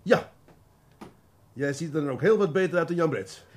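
An actor playing a shrewd, teasing police detective with a Dutch accent, putting needling questions with feigned innocence in a crime drama. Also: male voice, on the high side, expressive, moderate pace, a little mellow, breathy edge. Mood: needling, mock-friendly. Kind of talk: television crime drama acting.

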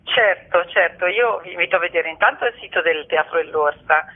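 A woman's voice: fairly low pitch, a little fast. A woman speaking as a theatre artist in a radio interview, earnest and articulate, with poetic phrasing. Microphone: telephone. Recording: narrow, tinny telephone line.

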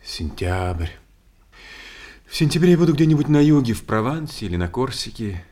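An actor playing a terse, brooding travelling circus animal tamer in a theatrical radio play. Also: male voice, medium pitch, big pitch swings, normal speed, dark tone, lightly acted. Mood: curious, musing.

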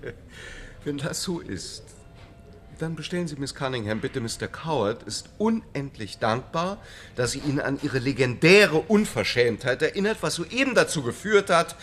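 A man's voice: on the high side, expressive, normal speed, fairly bright tone, a little hiss or hum. An actor playing an urbane, haughty male playwright in a German radio play, catty, sardonic and theatrical. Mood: catty, indignant.

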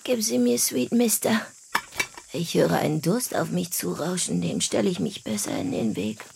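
A woman's voice: deep voice, very animated, normal speed, vocal fry, noisy background, full character voice. A woman in a radio play acting a boozy, flirtatious mother who coaxes and soothes in a languid way. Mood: languid, playful.

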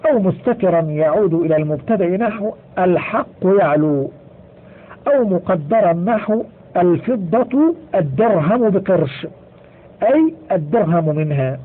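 A man reading a grammar textbook aloud in a measured way, slowing down to stress each example. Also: high-pitched, expressive. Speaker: audiobook reader. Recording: tinny phone-like audio.

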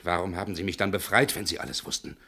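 A tormented man who has become a murderer, in a radio drama. The voice is deep and tense. His delivery is grave and dramatic, pressing insistently for answers. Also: fast talker, sharp tone. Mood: desperate, demanding. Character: tormented man turned murderer, Pedro, demanding truth.

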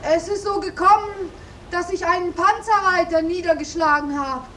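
Casting: plain-spoken young maid, very high pitched, earnest radio-play delivery, set far from the microphone. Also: female voice, normal speed, fairly bright tone. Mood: anxious, pleading. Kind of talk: 1950s German radio drama acting. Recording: faintly hissy, distant 1950s radio recording.

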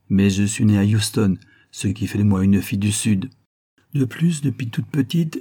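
A man voicing a young American woman singer from Texas, speaking softly with a put-on Texan twang in her English lines. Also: fairly low pitch, slightly rough.

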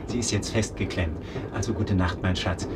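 An actor in a German radio play playing a caring husband, brisk and reassuring. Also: male voice, steady tone, natural voice. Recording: loud vehicle noise throughout. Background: rumbling train ride.

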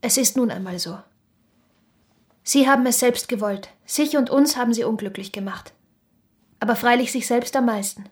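High-voiced, brusque wounded young woman played by actress. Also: normal speed, pauses often, lightly acted. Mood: bitter, grieving. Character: proud young shopkeeper's daughter, brusque yet wounded. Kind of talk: radio drama acting.

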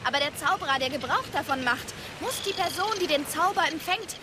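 A woman's voice: high-pitched, animated, brisk, noisy background. An actress playing a keen young female sorceress in a fantasy radio drama. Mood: explanatory. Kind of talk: German radio drama dialogue. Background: music.

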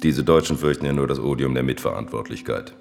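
An actor in a radio drama playing a ruthless minister, a cold schemer who speaks with cynical self-assurance. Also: male voice, a bass voice, fast, vocal fry, background noise. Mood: cynical, businesslike. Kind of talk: German radio drama acting.

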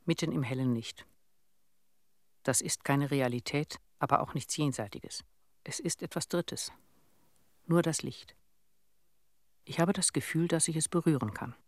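A man's voice: on the high side, no echo, studio quality, natural voice. A male actor in a radio drama playing a sick, pensive boy from Chernobyl in a simple, reflective manner.

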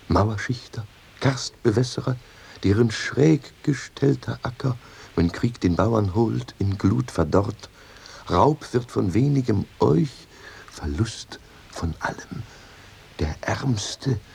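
An earthy, stubborn wine farmer in a theatrical radio drama. His husky voice swings widely and takes its time, declaiming and savouring each word, with music in the production. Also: medium pitch, a little mellow, exaggerated voice. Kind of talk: theatrical radio play acting with some singing.

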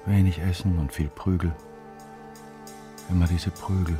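An actor playing an elderly emigrant in a radio drama monologue, brooding over a wartime childhood in broken phrases. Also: male voice, deep voice, flat delivery, a little slow, over music. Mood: bitter, brooding. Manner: fragmented, brooding recollection. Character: old male emigrant recalling a beaten wartime childhood.

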